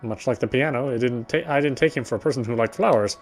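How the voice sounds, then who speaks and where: A man reading visual novel narration aloud as he plays, often halting and correcting himself. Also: medium pitch, soft background music. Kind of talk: read-aloud game narration.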